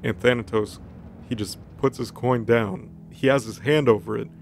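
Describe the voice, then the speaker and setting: Gravelly man giving a flippant casual recap. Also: medium pitch, moderate pace, slight background noise. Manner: flippant, slangy, acts out dialogue offhandedly. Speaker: host recapping a fictional story. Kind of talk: casual comedic plot recap. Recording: music bed under the voice. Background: background music.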